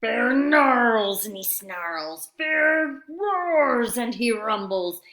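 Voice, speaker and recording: A woman reads to young children like a teacher at storytime. Her fairly high voice swoops expressively at an unhurried pace, with an audible room echo. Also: very animated, fairly bright tone. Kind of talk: animated picture book read-aloud. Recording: roomy, echoey sound.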